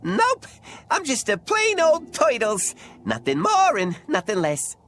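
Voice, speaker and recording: A woman's voice: a little high, wildly swooping pitch, takes it slowly, a little hiss or hum, heavily acted. A woman voicing a chipper, polite little male merchant creature with folksy sales patter, in a video game. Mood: chipper, eager. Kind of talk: video game cutscene acting.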